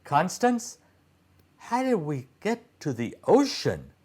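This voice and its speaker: A man's voice: fairly high pitch, huge pitch swings, slow, a little mellow, slightly rough, pitched above the performer's own voice, full character voice. A man voicing a curious, puzzled young boy in a storybook, with a wondering, questioning manner.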